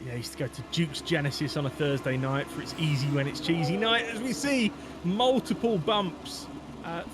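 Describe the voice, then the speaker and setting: A man commentating a race in a loose, chatty way. He drifts between the action and viewer messages, filling gaps with ums and little laughs. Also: fairly high pitch. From England. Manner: chatty, rambling, many fillers, reads chat aloud. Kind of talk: casual live race commentary.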